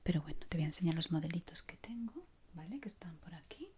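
A woman with an accent from Spain whispers gently and soothingly in a breathy voice, playing an ASMR shop assistant up close to the mic. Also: low-pitched, quick pace, crowd noise. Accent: Spanish from Spain. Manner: soft, gentle, soothing, many diminutives. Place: small indoor room. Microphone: close microphone. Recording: close mic with clear background noise.

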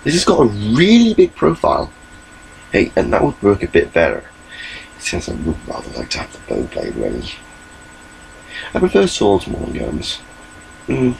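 A man's voice: fairly low pitch, wildly swooping pitch, moderate pace, vocal fry, pauses often, slight background noise, rough recording. A young man giving a rambling, chatty webcam update from his small bedroom, recorded on his laptop mic. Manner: rambling, chatty, gestures a lot. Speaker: vlogger.

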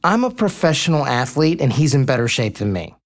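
A man's voice: mid-range voice, big pitch swings, brisk. An actor playing a cocky, teasing male pro baseball player in a romantic comedy audio drama. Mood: teasing, unbothered.

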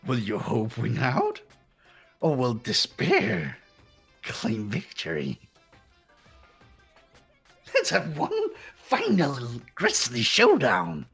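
A performer voicing a gleefully sadistic bear mascot villain in a game, raspy and gravelly, theatrical and taunting. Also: mid-range voice, wildly swooping pitch, drawn-out words, pauses often, a bit bright, faint music behind, heavily acted. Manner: theatrical, taunting, giggling, pun-happy. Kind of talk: character voice acting in a game fan dub.

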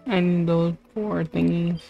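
A woman's voice: lowish voice, steady tone, unhurried, vocal fry, muffled recording. A woman livestreamer chatting casually and informally, rambling and thinking aloud as she plays. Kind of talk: casual game stream chat. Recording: game music behind the voice. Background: video game music.

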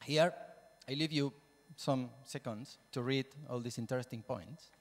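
A man in his forties with a Spanish accent, a native Spanish speaker, giving a halting conference presentation into a handheld microphone, repeating words for emphasis. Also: medium pitch, creaky. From Spain. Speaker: Spanish teacher and plenary speaker. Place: lecture hall, indoors. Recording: reverberant lecture room.

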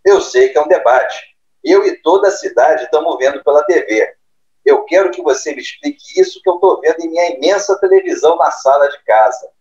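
A man's voice: on the high side, fairly quick, a little mellow, close to an everyday voice. A man acting in an audio drama as a demanding, imperious boss scolding someone over a muffled phone line. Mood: stern. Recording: muffled phone call sound.

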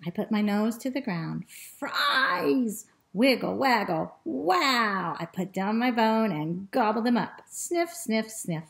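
An excitable male dog acted out by a woman tells his own storybook adventure, greedy for food. The voice sits fairly high and stretches words out slowly, breaking into short exclamations. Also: exaggerated voice. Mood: eager, hungry. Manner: exclamatory, drawn-out words and short repeated sniffing phrases. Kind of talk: expressive children's storybook reading.

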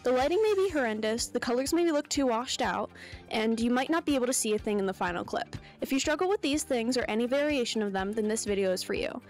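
Higher-pitched young woman, scripted narration. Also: animated, fast, a little mellow. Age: young adult. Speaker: tutorial presenter. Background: background music.

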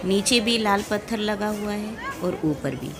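A woman's voice: mid-range voice, expressive, a little slow, crowd noise. A young woman chatting to her viewers as she films a casual travel vlog on a phone held at arm's length, outdoors in a big courtyard. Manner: chatty, addresses viewers directly. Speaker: vlogger. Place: outdoors in a large mosque courtyard. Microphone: phone camera held at arm's length. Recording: noisy outdoor phone recording.

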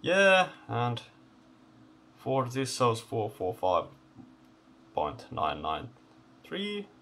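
Male teardown host, gravelly and lowish, off-the-cuff halting commentary, slight background noise. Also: huge pitch swings. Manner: halting, thinking aloud in short phrases. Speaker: host of electronics teardown videos. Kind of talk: unscripted hands-on teardown commentary. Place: indoor electronics workbench.

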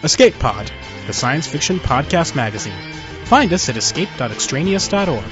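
A man's voice: a little high, very animated, moderate pace, muffled recording, soft background music. A man reading scripted narration as a storyteller.

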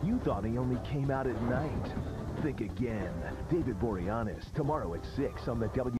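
A man's voice: medium pitch, animated, moderate pace. A man reading scripted television promos and trailers as an announcer. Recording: loud music under announcements.

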